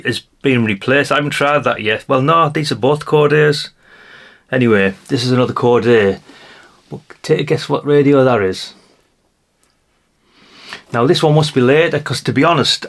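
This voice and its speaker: A British man giving a casual hands-on show-and-tell as a vlogger, musing aloud in a rambling way with chatty asides to the viewers. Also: medium pitch. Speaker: vlogger showing a haul of vintage CB radios.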